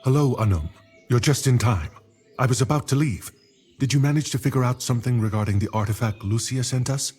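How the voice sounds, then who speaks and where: Man playing a husky, cautious druid. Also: mid-range voice, brisk, darkish tone, soft background music, lightly acted. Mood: calm, curious. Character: wise, cautious male druid, a loyal old friend. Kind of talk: fantasy game cutscene acting.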